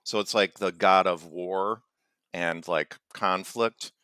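A podcast co-host with a lowish voice rambles through a joke, teasing his partner and restarting his sentences. His delivery is loose and casual. The recording is dry and close. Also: fairly even intonation, slow, pushed and bright, smooth, dry recording. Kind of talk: casual comedic chat.

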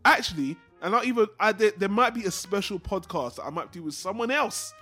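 A high-pitched male podcast host talks quickly and casually about films, with music underneath. He rambles and digresses freely, sharing his opinions as he goes. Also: expressive, sharp tone. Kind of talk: casual film discussion podcast.

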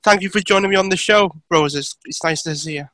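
A man's voice: sits high, fast talker, pushed and bright, no echo. A man hosting an interview show, reading introductions and putting questions to a guest.

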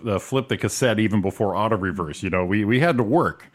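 A man's voice: fairly low pitch, quick pace. A man co-hosting a radio morning show, speaking hesitantly with many fillers. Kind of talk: casual interview chat.

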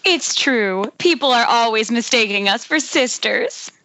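A woman's voice: a little high, expressive, measured pace, sharp tone, dry recording, heavily acted. A woman playing a vain, nagging society mother with affected politeness in an amateur script read over a muffled internet call. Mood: gushing, pushy. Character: vain, shrill society mother hosting dinner party. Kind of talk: amateur screenplay table read.

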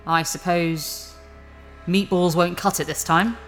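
A woman's voice: fairly low pitch, fairly even intonation, slow pace, faint music behind. An actress playing a cautious but keen sidekick in an audio drama, her voice reverberant. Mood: curious, insistent.

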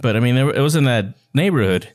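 A man's voice: mid-range voice, lively intonation, fast, a little mellow. A man co-hosting a podcast in casual chat, with a joking, teasing manner. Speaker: podcast co-host.

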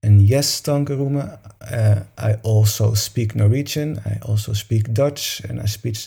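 A man's voice: mid-range voice, a little slow, creaky, dark tone, breathy edge. A man leading a meditation session, talking informally to a group in a soothing, encouraging manner.

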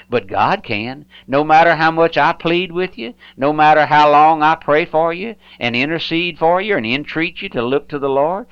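A man's voice: fairly high pitch, a bit bright, smooth, dry recording, muffled recording. A man pastor preaching an earnest, pleading radio sermon, often repeating himself and stumbling over words.

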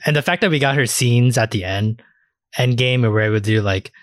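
A man's voice: mid-range voice, a little fast, no echo, studio quality. A man chatting casually as a podcast co-host about video games, swearing freely. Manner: casually profane.

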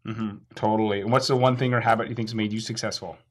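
A man hosting a podcast interview, chatty and joking while firing off quick questions. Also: fairly low pitch, fairly even intonation. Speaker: podcast host.

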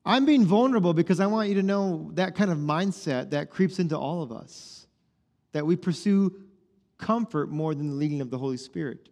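A man's voice: on the high side, normal speed, darkish tone. A man in his forties preaching a sermon, telling his story in a conversational way and acting out the dialogue. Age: 40s. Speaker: pastor. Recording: long hall reverb.

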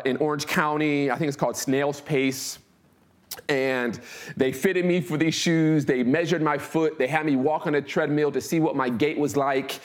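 Man, forty, American accent, slightly higher-pitched voice, conversational talk, informal, emphatic repetition. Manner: informal, emphatic, repeats phrases and checks in with listeners. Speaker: speaker giving a talk. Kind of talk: conversational motivational talk with personal anecdotes.

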